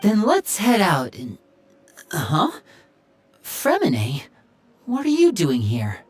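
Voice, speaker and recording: A woman playing a confident, sharp-witted, protective older-brother teenage boy in a video game, speaking smoothly and expressively. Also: on the low side, wildly swooping pitch, slow, full character voice. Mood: friendly, upbeat. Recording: music behind the voice. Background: background music.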